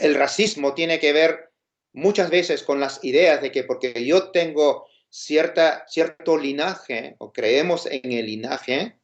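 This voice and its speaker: A man with a Peruvian Spanish accent giving an informal, explanatory lecture, posing questions and checking in with his listeners. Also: medium pitch. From Peru. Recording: dull, lo-fi sound.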